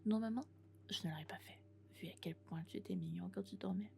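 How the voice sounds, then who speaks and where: Soft whispering lovesick robot girlfriend, played by a woman. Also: on the low side, slight background noise. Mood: tender, eager. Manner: slow, breathy, hushed, often whispering. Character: lovesick, possessive female robot, tender and obsessive. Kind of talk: ASMR roleplay, soft intimate whispering.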